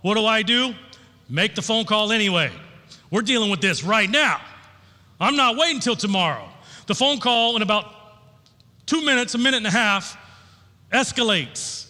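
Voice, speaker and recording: A man's voice: sits high, expressive, moderate pace, long pauses, bright, forceful tone. A middle-aged man preaching as a pastor, telling personal stories in an informal, folksy, candid way. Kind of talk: sermon with personal storytelling. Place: large church auditorium, on stage. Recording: long hall reverb from sound system.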